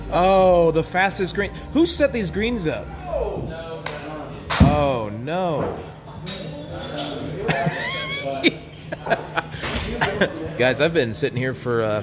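A man's voice: a little high, huge pitch swings, takes it slowly, pauses often, over loud music. Teasing man calling an indoor golf round. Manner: teasing, mock sportscaster asides. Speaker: golf vlog co-host. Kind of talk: playful golf commentary. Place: indoor golf simulator bay.

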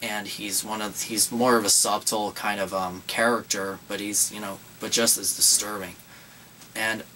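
A man's voice: fairly low pitch, fairly even intonation, normal speed, pushed and bright, distant mic, slight background noise, low-quality recording. A young man co-hosting a casual horror movie chat in a small room, rambling with many fillers and restarts. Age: young adult. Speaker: horror channel co-host.

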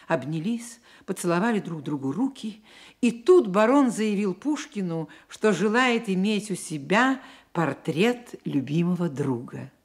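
Woman, narrator, low-pitched, measured, expressive, scripted literary radio storytelling.

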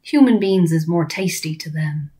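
A woman's voice: low voice, normal speed, warm tone, lightly acted. A woman voicing a kindly, eccentric male giant in a storybook, nervous, with quaint muddled grammar and invented words.

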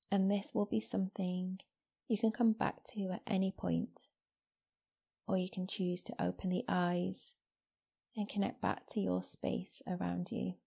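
Softly creaky, even-toned woman guiding meditation. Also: lowish voice. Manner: slow, soothing, gentle instruction with long pauses. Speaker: yoga nidra teacher. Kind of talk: guided meditation. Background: new-age music.

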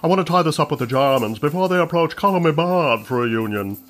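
A man voicing a brisk, curt male business manager in a comedy audio drama. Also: fairly high pitch, expressive, a bit bright, soft background music, pitched above the performer's own voice. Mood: brisk, placating.

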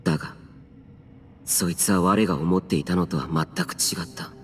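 A man's voice: sits low, steady tone, normal speed, a bit bright. A man acting in a Japanese game as a stern, aloof, youthful immortal warrior who speaks tersely and solemnly in archaic words. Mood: grave. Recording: long reverb, faint music behind.

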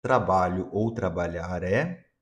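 A man's voice: low voice, takes it slowly. A man teaching English to Portuguese speakers, giving each phrase in Portuguese and then saying the English twice. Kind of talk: slow bilingual drill lesson.

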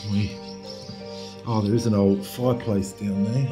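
An Australian man with a lowish voice talks casually to his viewers as he wanders through windy countryside. His relaxed, chatty narration is picked up by a camera mic catching gusts of wind, and music plays clearly under him. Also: measured pace. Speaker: vlog host exploring abandoned places. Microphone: camera mic, wind noise.

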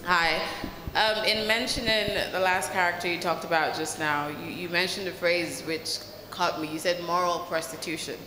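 A woman's voice: on the low side, fairly even intonation, moderate pace, hardly any pauses. A woman in the audience asking a panel a long, carefully phrased question into a handheld mic. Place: large festival tent with audience. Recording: echoey hall, faint room noise.

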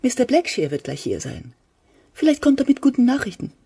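A woman's voice: a little high, big pitch swings, brisk, warm tone, slightly rough, distant mic, full character voice. An actress playing a fussy, talkative, needy middle-aged mother in a German radio play. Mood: nagging, coaxing.

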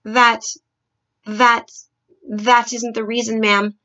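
A woman's voice: mid-range voice, lively intonation, normal speed, sharp tone, muffled recording. A woman voicing an anxious, deferential middle-aged maid for a storybook. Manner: deferential, fretful.

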